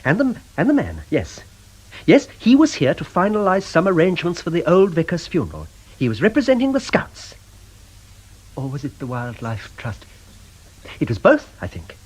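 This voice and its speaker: An actor playing a mild, apologetic vicar in a radio drama, stammering politely in a husky voice. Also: male voice, a little high, huge pitch swings, normal speed, a little mellow, full character voice, poor audio. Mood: searching, unsure. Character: flustered, apologetic, mild-mannered male locum vicar.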